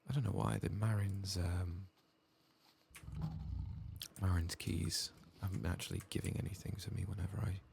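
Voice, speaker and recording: Man, deep and very creaky, hushed ASMR game commentary, half-whispered, unhurried murmur. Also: little pitch movement. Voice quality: soft, breathy, gravelly low voice. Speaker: ASMR game playthrough host. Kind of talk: soft-spoken and whispered ASMR game commentary, reading quest text aloud.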